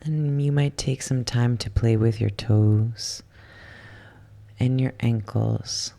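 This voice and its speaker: A soft, hushed voice guiding a yoga nidra relaxation in ASMR style, soothing and unhurried, slipping at times into a whisper. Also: mid-range voice, fairly even intonation, vocal fry. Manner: soothing, unhurried, with long pauses. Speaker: guided relaxation instructor.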